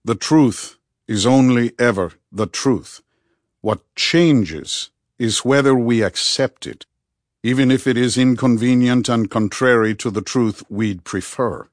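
A man voicing a thoughtful, probing male therapist and devoted friend in an audiobook, speaking in a measured, earnest, deliberate way. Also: medium pitch, dry recording, clean studio sound, natural voice. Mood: firm, earnest. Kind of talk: audiobook character dialogue.

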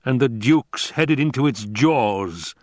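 A man voicing a fat, scheming baron in an audiobook, with a rumbling, growling bass and a grandiose, savoring delivery. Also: animated, takes it slowly, no room sound, studio quality. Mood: gloating. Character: fat scheming villainous baron, pompous and menacing.

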